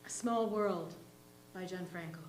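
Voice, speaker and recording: Lowish-voiced woman reading a short story. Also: unhurried, rough recording. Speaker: reader at a readers' theatre. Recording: faint hum and background noise.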